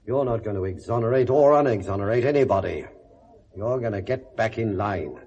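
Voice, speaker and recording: Blunt, husky British police inspector. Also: male voice, lowish voice, expressive, brisk, mellow. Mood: forceful, scornful. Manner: sardonic, lecturing, blunt and slangy. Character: blunt world-weary police inspector, gruff but kindly. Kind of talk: 1970s British radio drama acting. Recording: dull vintage radio recording.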